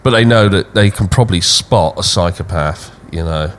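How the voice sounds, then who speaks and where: A deep-voiced man talking in an easy, measured way on a podcast. A faint hiss of noise sits under his voice. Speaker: podcast co-host. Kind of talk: casual chat. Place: Thailand.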